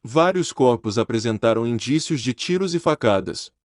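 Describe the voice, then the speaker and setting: A man's voice: medium pitch, lively intonation, no echo. Grave man narrating, dry studio sound. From Brazil. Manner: measured, serious and grave. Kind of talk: scripted documentary narration.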